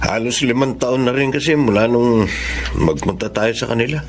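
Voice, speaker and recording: An actor playing a gentle, caring younger brother in a radio drama, concerned and reassuring. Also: male voice, mid-range voice, normal speed, slight background noise, lightly acted. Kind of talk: Filipino radio drama acting.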